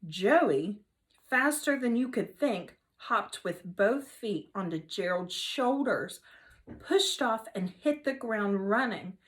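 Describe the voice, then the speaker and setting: A woman teacher reading a children's novel aloud in an expressive storytelling manner. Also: medium pitch, slow pace. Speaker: third grade teacher.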